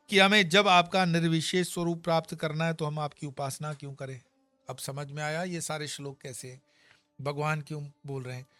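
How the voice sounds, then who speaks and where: Male spiritual teacher, bright forceful voice, emphatic discourse, close dry mic, faint music underneath. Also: on the high side, no room sound. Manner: emphatic, scolding asides with homely examples. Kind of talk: Gita discourse.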